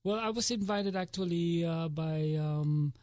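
A man's voice: fairly high pitch, darkish tone, dry recording. Earnest Filipino-born man in his forties. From Philippines. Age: 40s. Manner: earnest, halting with restarts. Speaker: ballet school artistic director. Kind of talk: interview guest.

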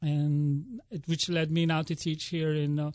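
A man in his forties, originally from the Philippines, talks as a ballet director being interviewed. His voice sits slightly high, and the room sounds dry and close. He speaks earnestly and often restarts his sentences. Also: level tone, a little slow, darkish tone, no echo. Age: 40s. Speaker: ballet school artistic director. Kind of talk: interview guest.

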